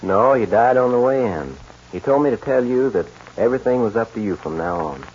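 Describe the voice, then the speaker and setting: An actor playing a loyal, worried cowhand neighbor in an old-time radio western, speaking hesitantly and earnestly. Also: male voice, medium pitch, animated, a little fast, darkish tone, husky. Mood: grave, hesitant. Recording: muffled, noisy vintage radio recording.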